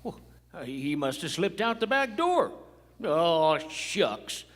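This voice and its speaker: A man playing a folksy, slow-witted cowpoke with a hesitant aw-shucks manner in a live western radio play. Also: fairly high pitch, big pitch swings, a bit bright, full character voice. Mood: sheepish. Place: large performing arts hall, on stage. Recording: echoey hall, live stage performance.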